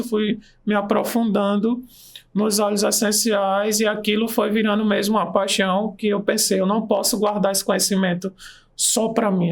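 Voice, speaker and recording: A man's voice: high voice, vocal fry. A man, an aromatherapy practitioner, telling his story with enthusiasm in a casual interview. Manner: enthusiastic storytelling, pace shifts. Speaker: aromatherapist and nurse, guest.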